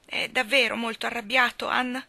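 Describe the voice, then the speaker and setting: A woman's voice: fairly high pitch, flat delivery, moderate pace, vocal fry, sharp tone, dry recording, voice pushed up, full character voice. A woman voicing an anxious, self-pitying young bride who sobs and pleads. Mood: tearful pleading. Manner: sobbing, pleading.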